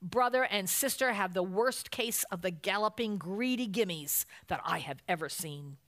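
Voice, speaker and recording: A woman's voice: mid-range voice, moderate pace, fairly bright tone, close to an everyday voice. A woman voicing an indulgent, easily flustered father bear from a children's storybook. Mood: outraged.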